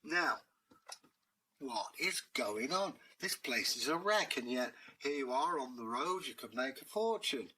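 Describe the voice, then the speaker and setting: Cheeky laid-back tomcat, bright sharp voice, kinda raised pitch, guy doing the voice. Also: expressive, a little slow, slight background noise, rough recording. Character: streetwise confident male cat wanderer.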